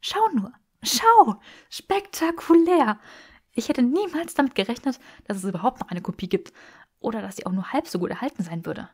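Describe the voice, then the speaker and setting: A woman's voice: on the high side, animated, moderate pace, husky, pitched above the performer's own voice. A woman voicing an eager, bookish young woman for an audiobook, breathless and emphatic as she gushes. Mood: gushing, delighted. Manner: breathless, gushing, emphatic delivery. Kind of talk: acted audiobook dialogue.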